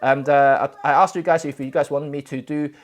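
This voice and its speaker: A Cantonese-native man from Hong Kong chats casually to viewers of his photography livestream. He talks in a halting, relaxed way, full of fillers and restarts, from a home setup. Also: fairly high pitch. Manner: halting, many fillers and self-corrections. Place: home, indoors. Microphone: camera setup for streaming.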